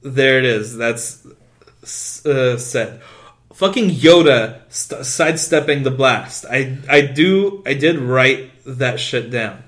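A man co-hosting a casual podcast, speaking English as a second language, rambling excitably and swearing constantly. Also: mid-range voice, takes it slowly. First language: not English. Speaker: podcast co-host. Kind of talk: casual chat.

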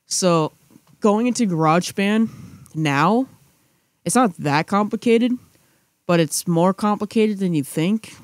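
A man's voice: high voice, a little slow, long pauses, no echo, clean studio sound. A young man chatting casually as a podcast co-host, rambling with restarts and fillers. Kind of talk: casual chat.

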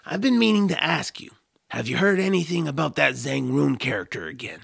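A man playing an eager, earnest young hero in a family audio drama, excitable and wide-eyed. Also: on the high side, fairly quick, gravelly, heavily acted. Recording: music under the voice.

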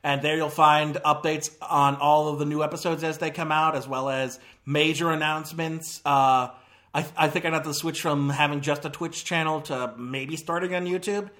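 A man's voice: fairly high pitch, fairly even intonation, moderate pace, fairly bright tone. A man hosting a movie podcast, chatting casually and rambling with lots of fillers and self-corrections. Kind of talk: casual chat.

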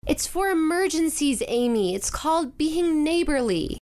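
Casting: blunt teen sister, high-pitched, swooping delivery, dry teasing sarcasm, contemporary audio drama. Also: female voice, expressive, a little slow, a little mellow. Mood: bickering, dry. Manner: sardonic, teasing. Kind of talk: modern audio drama acting.

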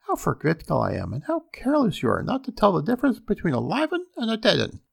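A man voicing an ogre's fussy, doting, scolding wife in a storybook tale, in a chattering falsetto. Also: wildly swooping pitch, fast talker, creaky, slightly rough, exaggerated voice. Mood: fussy, chiding. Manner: high shrill falsetto, chattering.

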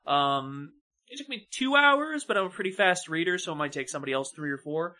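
A man talks casually as a podcast co-host, offering opinions and recommendations. His voice is high for a man and has a bright, crisp edge to it. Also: animated, brisk. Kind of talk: casual chat, giving reviews and recommendations.

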